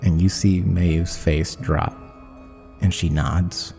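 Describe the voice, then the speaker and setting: A man's voice: sits low, fairly even intonation, slow, creaky, dark tone, in a large hall, faint music behind. A man narrating a fantasy tabletop game as game master.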